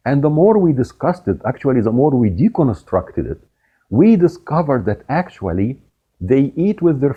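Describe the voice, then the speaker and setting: A middle-aged man from Egypt hosting a show, telling a personal story in an animated way with laughs along the way. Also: on the low side, husky, highs cut off. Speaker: podcast host. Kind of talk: storytelling monologue.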